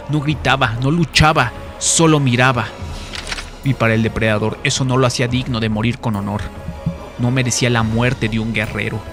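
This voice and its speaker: A man giving dramatic scripted narration of a story in a solemn, theatrical manner. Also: mid-range voice. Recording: music behind the voice.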